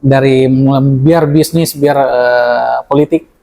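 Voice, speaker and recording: A man's voice: mid-range voice, fairly even intonation, takes it slowly, gravelly, dark tone. A man hosting a casual interview podcast, talking eagerly with many fillers. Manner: chatty, eager, with frequent fillers. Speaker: podcast host. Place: cafe.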